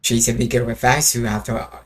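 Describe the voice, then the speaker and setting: A man's voice: medium pitch, fairly even intonation, a little fast. A man live-streaming a video game with casual, brief commentary.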